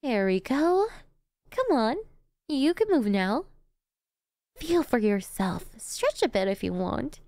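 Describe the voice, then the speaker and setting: A woman's voice: on the high side, sing-song, slow pace, pauses often, darkish tone, clean tone, no echo, studio quality, full character voice. A woman playing a gleeful, cocky yet doting female supervillain in an ASMR roleplay, with a theatrical, teasing, chatty manner. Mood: adoring, excited.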